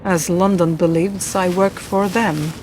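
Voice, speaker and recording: An actress playing a cool, teasing, world-weary Polish woman spy in a radio drama, speaking in a measured, formal way. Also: on the low side, steady tone, darkish tone, natural voice. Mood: defiant, weary. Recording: clearly noisy background.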